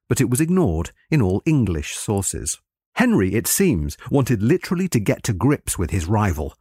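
A man delivering a scripted history lecture in formal, measured, scholarly phrasing. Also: mid-range voice, a little mellow, husky, no echo, studio quality.

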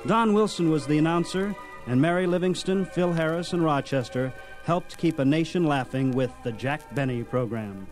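A man's voice: on the high side, normal speed, music in the background, poor audio. A man giving scripted documentary narration as the host of a radio history programme.

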